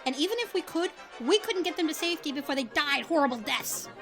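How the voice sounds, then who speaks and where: Woman as a high-voiced, dry field agent. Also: lively intonation, quick pace, music in the background. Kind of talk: audio drama acting.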